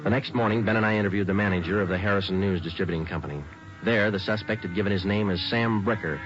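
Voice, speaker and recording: A man playing a deep, clipped police sergeant narrating. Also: fast talker, lightly acted. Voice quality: flat, clipped, matter-of-fact. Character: terse, businesslike male police sergeant. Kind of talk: 1950s radio crime drama. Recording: muffled vintage radio broadcast with music.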